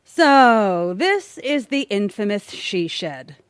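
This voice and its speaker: A woman playing a haughty, sharp-tongued scheming villainess in an audio comedy, mocking and biting. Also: medium pitch, very animated, slow, clear, resonant tone, dry recording, clean studio sound. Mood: sneering. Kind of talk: audio theatre comedy acting.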